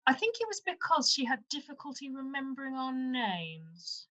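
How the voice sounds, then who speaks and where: A cheeky young pantomime daughter, played by a woman, high-voiced and bright. She is chatty and a bit envious, in comic radio-play style. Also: sing-song, normal speed, lo-fi sound. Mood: wistful, confiding. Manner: chatty, confiding, comic delivery.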